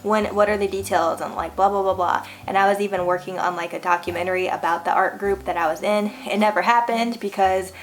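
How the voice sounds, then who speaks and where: A woman in her thirties from Hawaii, hosting a relaxed vlog and telling a personal story with frequent laughs and lots of "like". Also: mid-range voice, a little fast, few pauses, a little hiss or hum, poor audio. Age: 30s. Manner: chatty, laughs often, lots of "like". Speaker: vlogger host. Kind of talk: casual storytelling chat.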